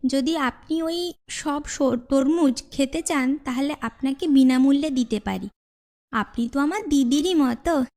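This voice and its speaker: A sly, servile vegetable seller in a cartoon fairy tale, put on by a woman. She pitches her voice high and coaxes with sugary deference, hiding a witch's intent. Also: measured pace, a little mellow. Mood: sweetly coaxing.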